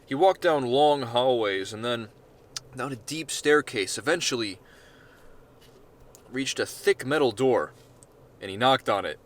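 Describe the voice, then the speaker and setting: A man's voice: mid-range voice, measured pace, long pauses. A male author reads his short story aloud in a mid-range voice. The narration is informal, with casual, sweary asides.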